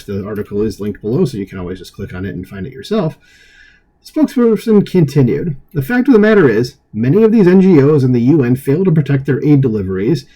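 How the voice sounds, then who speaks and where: A man with a husky voice reading an article aloud as an online commentary host, dropping in opinionated, dismissive asides. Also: mid-range voice, warm tone, low-quality recording.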